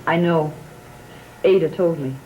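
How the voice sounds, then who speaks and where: A woman's voice: low voice, a little slow, highs cut off, a little hiss or hum. An actress playing a resolute, unafraid young bride-to-be in a gothic soap opera, composed and firm in her delivery. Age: young adult. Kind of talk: 1960s-70s gothic soap opera acting. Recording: old TV soundtrack with background music.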